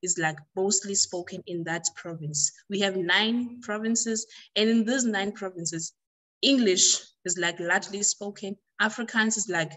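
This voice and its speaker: A South African woman answering interview questions conversationally, explaining and often rephrasing to make her point clear. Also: medium pitch, a little slow, fairly bright tone, poor audio. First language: Xhosa. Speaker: language tutor being interviewed.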